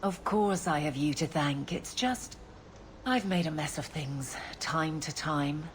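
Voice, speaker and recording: A woman's voice: low voice, unhurried, a little hiss or hum. An actress playing a dutiful, plain-spoken fantasy guardswoman and captain in a video game, firm in manner. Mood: reflective.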